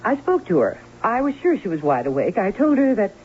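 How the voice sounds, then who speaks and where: An actress playing a fearful, anxious aunt in an old radio drama, speaking in a halting, dramatic way. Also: female voice, medium pitch, big pitch swings, natural voice. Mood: grave recounting. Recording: muffled, hissy old radio broadcast.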